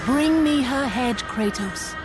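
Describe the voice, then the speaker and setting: An imperious goddess for a video game, high and commanding. She speaks slowly and deliberately over loud music. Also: animated. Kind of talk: dramatic video game acting.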